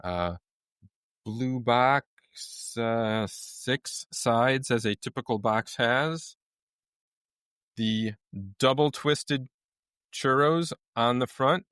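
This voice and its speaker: A man's voice: medium pitch, drawn-out words, long pauses, a bit bright, clean tone, no echo, studio quality. Wry man with a mid-range voice. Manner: wry, reads items off lists. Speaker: podcast co-host. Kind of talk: casual chat, reading packaging.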